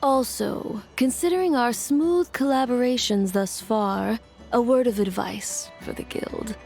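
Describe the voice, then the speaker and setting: A woman's voice: a little high, measured pace, gravelly, a little mellow, faint music behind. A woman voicing a weary, tough female gang enforcer in a video game, with a dry, world-weary manner. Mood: dry, warning.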